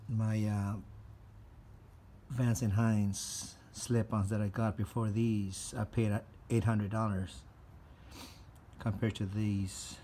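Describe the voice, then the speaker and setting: A man giving a casual product review as a customer, plain-spoken and hesitant with pauses. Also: lowish voice, dark tone, slight background noise. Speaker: customer reviewing motorcycle mufflers.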